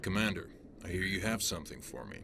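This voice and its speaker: A man voicing a pragmatic alien police detective in a video game, measured and businesslike. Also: low voice, sing-song, vocal fry, music in the background, heavily acted. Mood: satisfied, appreciative. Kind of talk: dramatic game dialogue.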